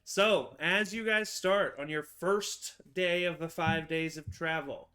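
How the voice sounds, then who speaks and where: A man's voice: a little high, bright, forceful tone. A young man narrating a tabletop game as game master, hesitant and full of fillers. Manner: halting, many fillers and restarts.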